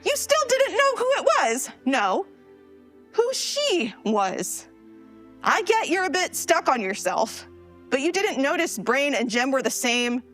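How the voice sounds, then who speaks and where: A blunt, indignant young space traveler, acted by a woman in a live sci-fi radio play. Her high voice swings widely as she challenges people in casual language, over a music bed. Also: sing-song, takes it slowly, fairly bright tone, full character voice. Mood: indignant, scolding. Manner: colloquial, challenging. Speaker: actor in a live stage audio drama.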